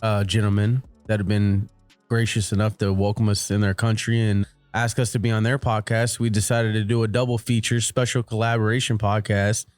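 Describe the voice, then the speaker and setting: A man hosting a podcast, greeting listeners in a relaxed, slangy way. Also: on the low side, brisk, dry recording, faint music behind. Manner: laid-back, slangy. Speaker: podcast host. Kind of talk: casual chat.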